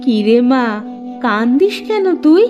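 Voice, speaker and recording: A woman voicing a gentle, consoling village mother in an animated fairy-tale cartoon, with a soothing, tender manner. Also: high-pitched, a little slow, highs cut off, music in the background.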